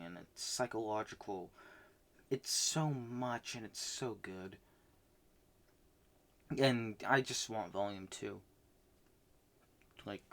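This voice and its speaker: A man casually recapping the manga he read this month, rambling with many fillers and restarts, swinging between loud bursts and soft mumbles. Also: medium pitch. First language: not Japanese. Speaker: manga reviewer.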